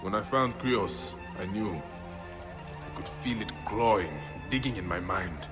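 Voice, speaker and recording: An actor playing a steadfast, compassionate protector from ancient times, in a dramatic video game performance. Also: male voice, on the low side, lively intonation, takes it slowly, over music. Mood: grave.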